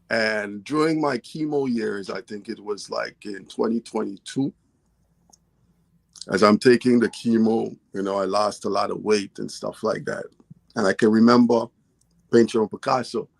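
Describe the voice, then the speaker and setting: A man's voice: fairly low pitch, gravelly, no echo. A man from Guyana, a guest author and poet, telling his story in a casual interview in an earnest, storytelling way.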